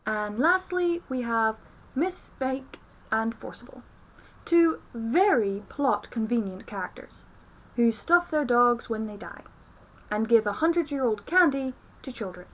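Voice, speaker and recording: A woman delivers scripted film review commentary. Her voice sits fairly high as she talks through her points as a reviewer. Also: animated, unhurried, a bit bright, low-quality recording. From outside the United States.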